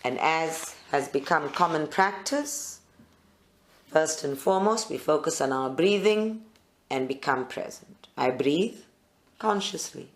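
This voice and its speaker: A meditation guide leads a live group session in short, deliberate phrases with pauses between them. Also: mid-range voice, lively intonation.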